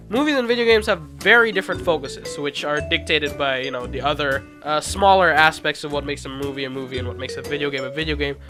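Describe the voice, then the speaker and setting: A video essayist narrating in a casual, informal and self-deprecating way, as if reading a loose script. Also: mid-range voice. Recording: music playing behind the voice. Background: background music.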